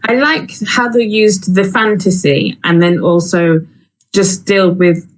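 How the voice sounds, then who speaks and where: A woman's voice: medium pitch, fairly even intonation, slow, rough recording. A woman co-hosting a live online event from home in a casual, chatty style. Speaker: co-host. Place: at home on a video call.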